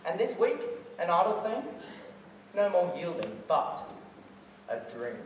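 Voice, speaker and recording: A man's voice: sits high, sing-song, measured pace. A teenage boy playing a mischievous fairy sprite in a declamatory, playful Shakespearean stage monologue. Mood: coaxing, apologetic. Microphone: distant camera or microphone. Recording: very echoey hall from far off, noisy.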